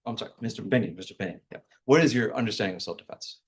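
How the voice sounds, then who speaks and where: A man's voice: lowish voice, racing. A man working as a prosecutor, cross-examining a witness in court in an informal, probing, plain-worded way.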